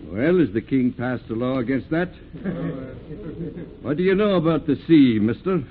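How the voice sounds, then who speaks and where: An actor playing a gruff but fair whaling ship skipper in old-time radio drama, blustery and commanding. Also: male voice, medium pitch, lively intonation, moderate pace, lightly acted. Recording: muffled, noisy old radio recording.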